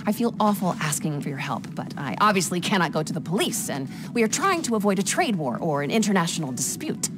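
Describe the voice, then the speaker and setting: A woman's voice: lowish voice, sing-song. An actress playing a formal, exasperated businesswoman manager in a video game cutscene. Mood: concerned, businesslike. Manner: formal, measured. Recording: music behind the dialogue.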